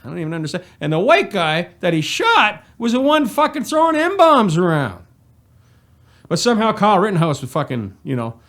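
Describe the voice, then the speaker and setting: Guy ranting and swearing, high voice, swoops all over, mocking and fed up. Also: huge pitch swings, normal speed, fairly bright tone. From Canada. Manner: crude, mocking, exasperated. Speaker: commentator reacting to a press conference. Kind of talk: casual profane rant, reaction commentary.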